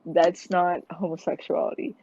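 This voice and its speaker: A woman's voice: on the low side, flat delivery, moderate pace, warm tone, slight background noise. A young woman from Malaysia in a casual interview, talking earnestly and hesitantly with many fillers and restarts. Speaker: guest. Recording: tinny phone-like line, dry.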